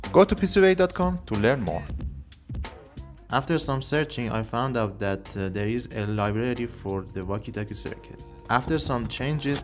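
A man in his twenties presents a hands-on electronics tutorial. His voice sits at an average pitch for a man, with light music playing behind it. He speaks as a video creator explaining things to viewers. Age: 20s. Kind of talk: tutorial narration to camera.

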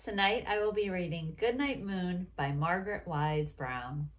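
A middle-aged woman gently reading a picture book aloud to young children, deliberate and with pauses between phrases. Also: fairly low pitch. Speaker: bedtime story reader and host. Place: small bright room at home, indoors.

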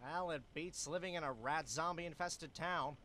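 A man's voice: a little high, moderate pace, sharp tone, slight background noise. A man voicing a skeptical, exasperated cartoon animal sidekick, sarcastic in manner, for an adventure game.

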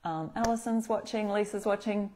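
A woman's voice: medium pitch, moderate pace, a little mellow. Chatty Australian woman hosting a craft live. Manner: chatty, greeting viewers by name. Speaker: craft demo host. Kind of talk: live-streamed craft tutorial. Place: bright indoor room at a craft table.

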